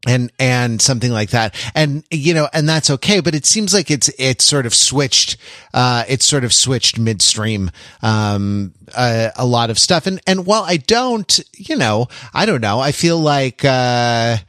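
A man with a mid-range voice chats casually as a podcast host. His delivery is halting, often restarting and circling back over a phrase before landing it. The sound is very dry, with no room to it. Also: medium pitch, animated, fairly bright tone, no echo. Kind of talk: casual pop culture discussion.

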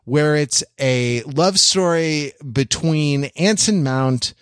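A man's voice: on the high side, takes it slowly, smooth, no room sound, studio quality. A man hosting a podcast in a casual, humorous chat, rambling wryly through mock-earnest digressions. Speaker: podcast host.

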